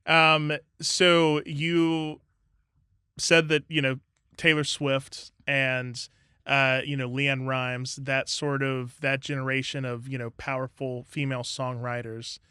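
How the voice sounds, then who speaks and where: A man's voice: medium pitch, little pitch movement, slow pace, bright, forceful tone, clear, resonant tone, no echo, studio quality. A man from Southern Ohio with an Ohio accent, hosting a podcast in a laid-back way, swearing casually and filling with "you know". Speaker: podcast host.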